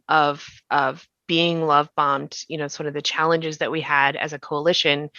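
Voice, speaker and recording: A woman's voice: low-pitched, pushed and bright, no room sound, muffled recording. A woman hosting a podcast discussion in a conversational, thoughtful way, often trailing off mid-thought. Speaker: podcast host and interviewer. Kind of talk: conversational behind-the-scenes discussion.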